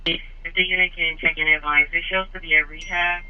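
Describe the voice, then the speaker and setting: A man's voice: on the high side, flat delivery, a little fast, clear, resonant tone, muffled recording. A man working as a police dispatcher, speaking in clipped, procedural phrases over a scanner radio.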